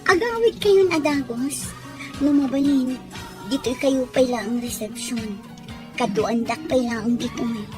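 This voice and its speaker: A woman's voice: sits high, a little slow, music in the background. A woman playing an unhappy, dutiful young bride in a radio drama. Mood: polite.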